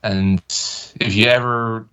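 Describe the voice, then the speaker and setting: A man with a slightly low voice chats as a guest on a muffled recording. He sounds informal and casual, with a self-deprecating way of talking. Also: fairly even intonation, takes it slowly, pushed and bright, smooth. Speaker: guest voice performer and graphic artist. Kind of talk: casual chat. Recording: dull, muffled call audio.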